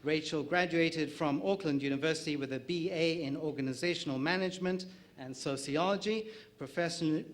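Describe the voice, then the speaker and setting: South African man moderating a panel. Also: a little high. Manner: reads introductions with small slips and light jokes.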